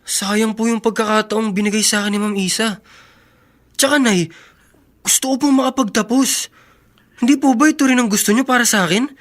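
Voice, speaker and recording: A man's voice: high voice, steady tone, a little fast, pauses often, natural voice. Polite, hesitant young son, played by a man. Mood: pleading, confused. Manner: respectful, hesitant. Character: polite, troubled young son, a grateful student. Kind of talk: radio drama dialogue.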